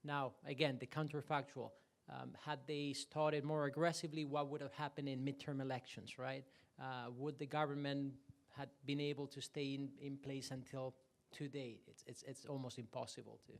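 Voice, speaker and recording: A man answering a question on a panel, measured and hesitant, with many restarts. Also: mid-range voice, little pitch movement, gravelly. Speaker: panelist, economist.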